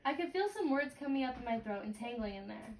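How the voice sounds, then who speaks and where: A woman's voice: a little high, animated, fast, darkish tone. Woman reading a storybook in a roomy, noisy space. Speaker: reader leading a read-aloud for a child. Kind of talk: picture-book read-aloud with questions to a child. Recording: roomy, distant mic, clicks and clear noise.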